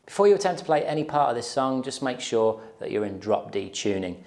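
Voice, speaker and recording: A man's voice: mid-range voice, very animated, a little fast, darkish tone. A man in his thirties giving an informal, explanatory guitar tutorial to camera, often checking in with the listener, recorded on a lapel mic in a small room. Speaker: guitar teacher. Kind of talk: instructional tutorial talk to camera. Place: small plain indoor room.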